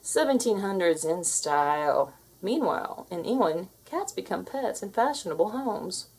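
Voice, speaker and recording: A woman reading a children's storybook aloud in an expressive style. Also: medium pitch, measured pace, breathy edge, low-quality recording. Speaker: storybook reader.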